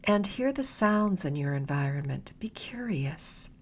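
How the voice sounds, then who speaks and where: Female meditation guide, slightly low register, soft and a touch breathy, gentle unhurried delivery with long pauses. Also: lively intonation. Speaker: meditation guide, mindfulness mentor.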